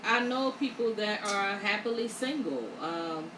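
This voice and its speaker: A woman hosting a casual panel discussion, reflective and steady, often affirming the others. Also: medium pitch, unhurried. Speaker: host. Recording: echoey room, distant mic, audible noise.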